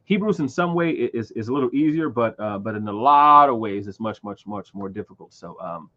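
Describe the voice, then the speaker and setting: Halting thirty-something man, low voice. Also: expressive, sharp tone, dull audio. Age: 30s. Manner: halting, many repeated words and fillers. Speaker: livestream host. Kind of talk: casual livestream chat.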